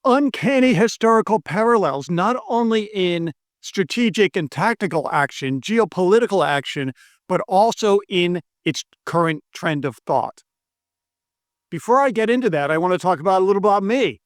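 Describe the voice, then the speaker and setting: American economist guy, kinda high voice, dry close sound, keeps pushing the same point. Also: animated, normal speed, no room sound. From United States. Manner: emphatic, repeats his key claims, stumbles over foreign names. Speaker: monetary economist presenting a video lesson. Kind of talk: earnest persuasive talk to camera. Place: indoor room with brick and stone walls.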